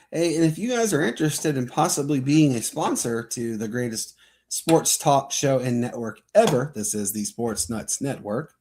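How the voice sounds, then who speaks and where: A man's voice: medium pitch, a little hiss or hum. Enthusiastic male sports show host. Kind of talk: casual livestream sign-off.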